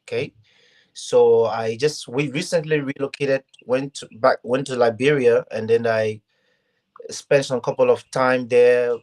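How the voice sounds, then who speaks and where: A young man from Ghana, speaking as a guest on an online interview show. He talks earnestly and haltingly, restarting phrases as he goes, at a middle pitch. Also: fairly even intonation, darkish tone. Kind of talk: casual interview talk.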